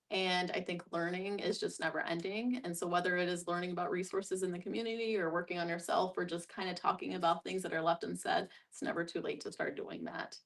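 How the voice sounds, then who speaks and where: A woman with a creaky, fairly low voice, recorded a bit away from the microphone. She talks casually and reflectively as a guest in a group discussion. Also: fairly bright tone. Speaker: guest discussion facilitator, clinical social worker. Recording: distant microphone.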